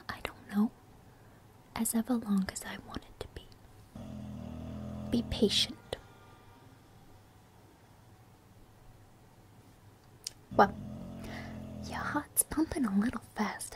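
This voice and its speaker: A woman playing a bossy, teasing young villain's sidekick as an exam assistant, in a breathy, whispered ASMR roleplay. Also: a little high. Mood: bossy, coy. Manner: coy, scolding, teasing.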